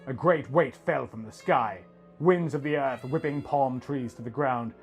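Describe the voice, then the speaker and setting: A man reading a scripted first-person story as a dramatic narrator, in a theatrical, deliberate manner. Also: mid-range voice, soft background music.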